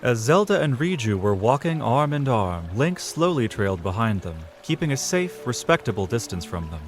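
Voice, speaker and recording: A man's voice: mid-range voice, sing-song, moderate pace, faint music behind. A man reading scripted narration for a fantasy audio drama, in a storyteller's style.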